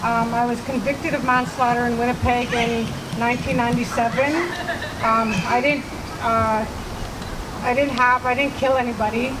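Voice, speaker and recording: A woman's voice: fairly high pitch, little pitch movement, moderate pace. A woman in her forties from Manitoba, giving a hesitant, nervous personal speech with many fillers to a rally crowd. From Manitoba, Canada. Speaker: rally speaker. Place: outdoor rally. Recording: noisy outdoor recording with traffic.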